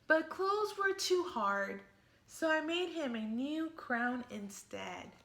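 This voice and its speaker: Storybook read-aloud: a make-believe little fairy girl, voiced by a woman, high and bright, slow and lively. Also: heavily acted. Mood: playful. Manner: lively storybook reading with playful emphasis. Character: imaginative little girl who thinks she's a fairy. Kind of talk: reading a picture book aloud.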